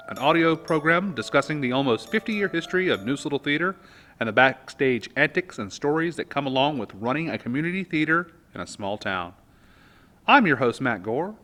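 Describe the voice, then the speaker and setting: Bright-voiced American man hosting a podcast. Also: on the high side. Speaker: podcast host.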